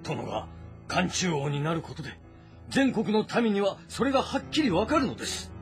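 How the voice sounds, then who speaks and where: An actor playing a composed, calculating and loyal strategist in a historical game drama, speaking formally and deliberately. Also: male voice, sits high, big pitch swings, soft background music. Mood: earnest, persuasive. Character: composed, calculating, loyal male strategist advising his lord.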